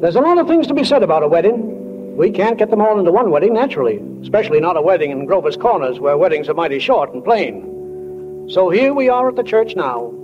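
A woman's voice: on the low side, sing-song, a little fast, over music. A woman playing a folksy, plainspoken small-town stage manager who narrates an old radio drama in a dull, reverberant recording. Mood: folksy, musing.

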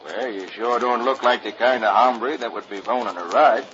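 A man's voice: mid-range voice, normal speed, fairly bright tone, muffled recording, slight background noise, pitched below the performer's own voice, lightly acted. A man voicing a folksy grown cowboy truck driver with drawling slang, on an old radio recording. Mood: friendly.

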